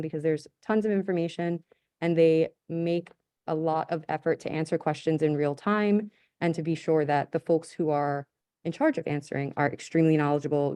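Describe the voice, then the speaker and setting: A woman's voice: low voice, mellow, no echo. A woman giving an upbeat report as a school liaison over a video call. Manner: upbeat and enthusiastic. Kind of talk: meeting report.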